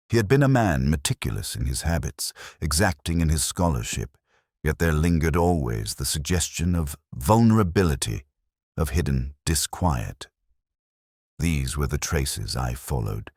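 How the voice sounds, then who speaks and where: A man narrating a scripted first-person detective story in a measured, literary, reflective manner. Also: very deep voice, no echo, clean studio sound.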